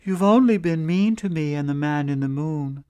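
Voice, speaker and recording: A man's voice: moderate pace, dark tone, clear, resonant tone, dull audio, lightly acted. A male storyteller voicing a brave, clever wife who bakes pies, in a raised voice. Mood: defiant. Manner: high-pitched, pleading then firm. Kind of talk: storytelling performance.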